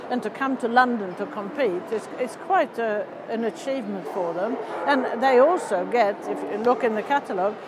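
An older woman from Sweden, an event organiser, interviewed in a large indoor exhibition hall, speaking haltingly with restarts. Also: on the high side, background noise.

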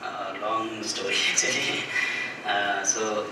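A man's voice: on the low side, level tone, slow pace. A man, speaking hesitantly as a photographer on a panel. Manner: hesitant, rephrases often. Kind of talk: unscripted panel talk. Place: dim auditorium with seated audience. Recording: echoey noisy hall, far from mic.

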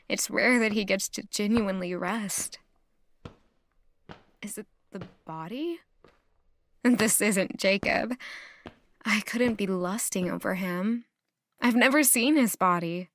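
A woman's voice: medium pitch, a bit bright. An actress playing a lovestruck, self-doubting young woman in an audio drama, speaking hesitantly and musingly. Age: young adult. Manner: hesitant, musing, questioning herself. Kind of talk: audio drama acting, introspective monologue.

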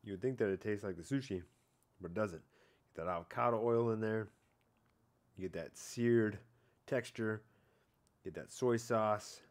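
Laid-back bearded vlogger man, lower voice. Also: normal speed, long pauses. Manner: laid-back, rambling, hedging with "I don't know" and "like". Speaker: fishing vlogger. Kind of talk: casual catch-and-cook vlog. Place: outdoor patio at night. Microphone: head-mounted camera.

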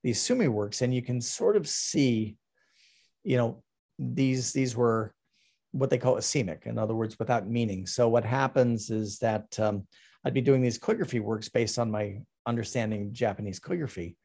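A middle-aged man talking casually about his artwork on a video call, halting with many ums and restarts. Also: mid-range voice, vocal fry, mellow. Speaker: artist presenting his work. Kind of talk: casual talk over a slide presentation.

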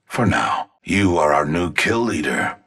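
A pompous, cold male scientist villain from a video game. He speaks with measured disdain in a very deep, raspy voice. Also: big pitch swings, fairly bright tone, full character voice. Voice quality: gravelly, deep.

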